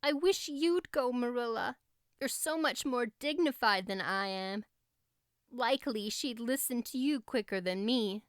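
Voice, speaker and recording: A high, clear voice of an earnest, heartbroken young girl, played by a woman narrator. She speaks with plaintive drama and effusive feeling, as a storybook heroine in an audiobook. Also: expressive, unhurried, no echo, clean studio sound. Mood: pleading. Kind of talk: audiobook character acting.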